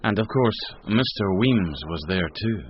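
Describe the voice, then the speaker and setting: Low, dry narrating sergeant. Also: male voice, animated, unhurried. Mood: formal, dry. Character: dry, wry police sergeant narrating his report. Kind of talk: scripted narration in radio drama. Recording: dull radio recording, faint noise.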